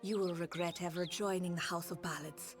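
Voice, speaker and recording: A woman's voice: lowish voice, steady tone, a little fast, soft background music, natural voice. A woman acting a seductive, scheming fae villain in a video game, with a haughty, silky, taunting manner. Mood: haughty menace.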